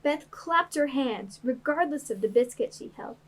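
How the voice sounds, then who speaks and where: A woman's voice: high voice, expressive, normal speed. A woman narrator reading a classic family story in a scripted storybook style.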